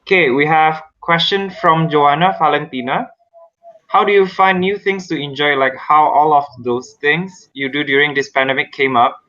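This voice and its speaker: A man's voice: fairly high pitch, normal speed, sharp tone. A man moderating an online session, polite and formal as he reads out audience questions. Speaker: event moderator. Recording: muffled lo-fi video call.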